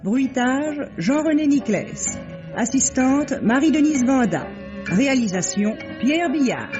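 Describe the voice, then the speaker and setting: High-pitched radio credits announcer over loud music. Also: sing-song, unhurried, highs cut off.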